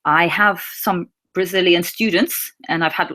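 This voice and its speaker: A young woman with a slightly low voice, whose was Russian. She talks in an easygoing, chatty way and answers viewers' questions one by one. She keeps her words clear and simple for learners. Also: steady tone, measured pace, pushed and bright. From England. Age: young adult. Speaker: English teacher. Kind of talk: casual live Q&A.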